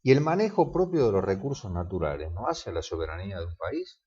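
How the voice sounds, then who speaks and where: A man's voice: deep voice, very animated, fast, a little mellow, breathy edge, muffled recording. A man, interviewing a guest and adding his own points to the discussion. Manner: probing, adds points to the guest's answers. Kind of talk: interview questions.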